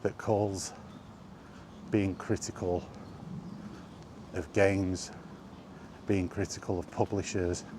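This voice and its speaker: Low-voiced man in an emphatic monologue. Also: noisy background. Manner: emphatic, deliberate delivery with rhetorical questions and repetition. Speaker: video host sharing opinions on gaming. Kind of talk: unscripted opinion monologue while walking.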